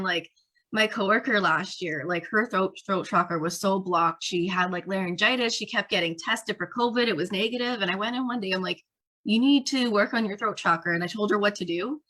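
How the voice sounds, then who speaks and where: A woman's voice: mid-range voice, bright, forceful tone, low-quality recording. A woman guest on a podcast telling her story casually, with many fillers. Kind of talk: casual interview chat.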